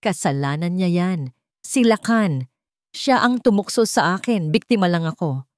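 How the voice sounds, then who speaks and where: A woman's voice: lowish voice, animated, normal speed, dry recording, clean studio sound, natural voice. A woman voicing a scheming, deceitful younger mistress in an audio story, dramatic and insistent. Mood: desperate, pleading.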